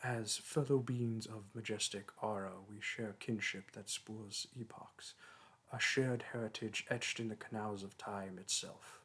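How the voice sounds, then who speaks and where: A man's voice: fairly low pitch, fairly even intonation, unhurried, fairly bright tone, husky. Man playing a proud, grandiloquent ancient dragon. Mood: reverent, solemn. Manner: grandiloquent, archaic, formal phrasing. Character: proud, ancient male dragon teacher, haughty yet amorous. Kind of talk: romantic audio roleplay.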